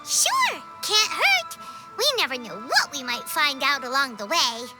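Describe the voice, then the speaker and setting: A woman voicing a tiny, chirpy, curious floating companion with a squeaky childlike voice in a video game. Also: sing-song, unhurried. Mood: upbeat. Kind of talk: scripted video game dialogue. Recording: loud game music behind the voice. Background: background music.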